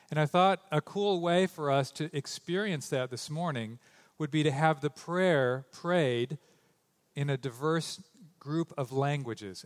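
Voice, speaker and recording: A man preaching a sermon, reading scripture and then talking loosely, with joking asides and stumbles, into a stage microphone in a church. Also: fairly high pitch. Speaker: pastor. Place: church sanctuary.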